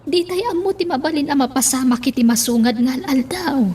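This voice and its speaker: A woman's voice: high-pitched, fairly quick, dark tone, a little hiss or hum, lightly acted. A woman playing a worried, insistent older mother figure in an Ilocano radio drama.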